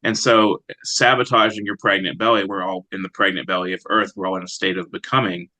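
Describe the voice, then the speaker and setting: Male interviewee, poet. Lowish register, crisp bright tone, close dry recording, reflective delivery. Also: fairly even intonation, brisk, no echo, low-quality recording. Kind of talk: conversational interview with brief poem reading.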